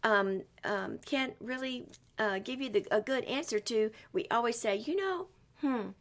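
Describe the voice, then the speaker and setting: A woman hosting a story time for young children, encouraging and expressive as she questions a guest and speaks straight to the kids. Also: a little high, unhurried.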